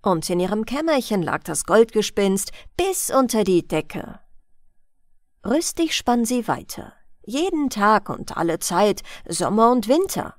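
A woman narrating a fairy tale as a bedtime audiobook, reading gently and expressively into a close mic. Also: medium pitch, sing-song, measured pace, no echo.

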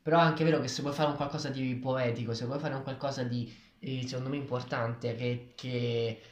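A man's voice: medium pitch, fairly even intonation, a little fast, dull audio. A man in his twenties giving opinions casually and thinking aloud, picked up by a camera across a kitchen table. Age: 20s. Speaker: book reviewer. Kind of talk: casual discussion of a graphic novel. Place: small tiled kitchen, indoors. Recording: echoey room, camera at a distance.

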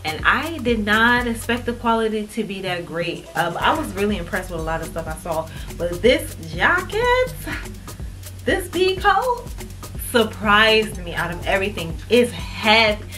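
A woman's voice: medium pitch, very animated, unhurried, a bit bright, faint music behind. A young woman chatting emphatically to her viewers in a casual try-on haul, calling them "y'all". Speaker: fashion vlogger. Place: indoors in front of a painted wood backdrop.

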